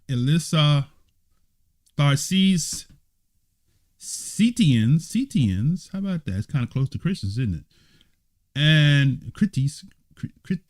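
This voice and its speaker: Bible-study elder who keeps asking "right?". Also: male voice, medium pitch, darkish tone. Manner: reads scripture aloud and explains it. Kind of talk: informal Bible study sermon. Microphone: streamed online talk.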